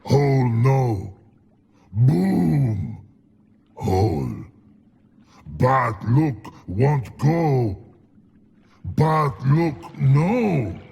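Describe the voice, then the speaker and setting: An actor playing a slow-witted, childlike, sorrowful troll who talks in broken, simple fragments in a video game. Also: male voice, mid-range voice, very animated, gravelly, warm tone, slightly rough, in a large hall, heavily acted. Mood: dim, sorry. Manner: broken, simple speech in short halting fragments.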